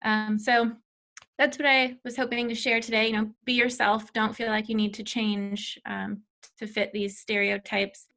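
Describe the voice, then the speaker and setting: A woman talking frankly to a gathering about her own path as a founder. Her voice runs rather high for her, and she leans on fillers and small restarts as she goes. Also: little pitch movement, normal speed, gravelly, fairly bright tone. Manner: candid, filler-heavy speech. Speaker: company founder. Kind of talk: personal talk to an audience.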